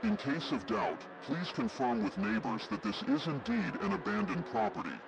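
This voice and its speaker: A man plays a grave male emergency alert announcer. His delivery is official and measured, with wide swings in pitch and a gravelly edge. Loud noise runs behind the voice. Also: sing-song. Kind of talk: scripted emergency broadcast narration.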